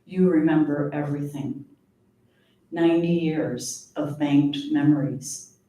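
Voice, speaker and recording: Female poet, live reading, unhurried measured delivery; very reverberant, distant recording with faint noise. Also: low voice, steady tone, mellow. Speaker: poet reading own work. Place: live reading event before an audience. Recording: very echoey room, distant mic, faint noise.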